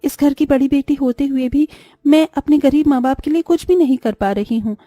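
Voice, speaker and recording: Woman playing caring village girl, elder daughter, earnest, gentle, high pitch, very soft dark tone, cartoon. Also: moderate pace, dry recording, faint music behind, close to an everyday voice. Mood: wistful, hopeful. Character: poor, dutiful, caring young elder daughter. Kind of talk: Hindi moral-story cartoon voice acting.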